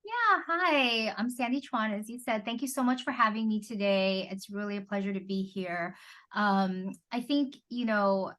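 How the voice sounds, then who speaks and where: A woman's voice: mid-range voice, moderate pace, fairly bright tone. A middle-aged American woman answering interview questions conversationally as a fertility doctor, chatty and peppering her talk with "you know". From Southern California, born in Taiwan.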